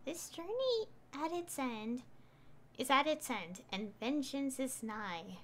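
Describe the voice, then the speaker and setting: A woman's voice: high voice, animated, takes it slowly, a bit bright. A woman live-streaming a video game, commenting casually and reading game text aloud.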